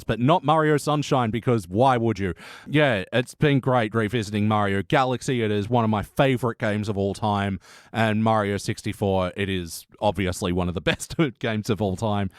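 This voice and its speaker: A man's voice: lowish voice, moderate pace, smooth, dry recording, clean studio sound. A man hosting a podcast in casual chat, talking informally and enthusiastically with plenty of swearing. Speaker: podcast host.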